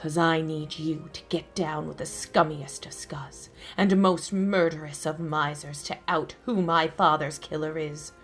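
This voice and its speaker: An actress playing a melodramatic, scheming femme fatale in a parody of a 1940s detective radio play, with theatrical delivery. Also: female voice, low-pitched, steady tone, unhurried, few pauses, faint music behind. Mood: cool scheming. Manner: theatrical, breaking into knowing asides.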